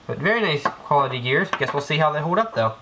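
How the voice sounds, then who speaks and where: A man's voice: on the high side, animated, fast talker. Guy with a cold talking thru gearbox parts, lots of ums, noisy home cam audio w clicks. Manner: rambling, many ums and restarts. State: has a cold. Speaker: airsoft gearbox tech demonstrator. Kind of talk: casual hands-on tutorial narration. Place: indoor room, work on floor.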